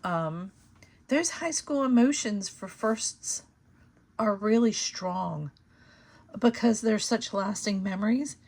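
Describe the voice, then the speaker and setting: A woman with a slightly hoarse voice talks through a tarot reading to her viewers. She thinks aloud as she goes, pausing on fillers and starting her sentences over. Her voice sits at an ordinary middle pitch for a woman. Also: a little mellow. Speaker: tarot reader and channel host. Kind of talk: casual tarot reading to camera.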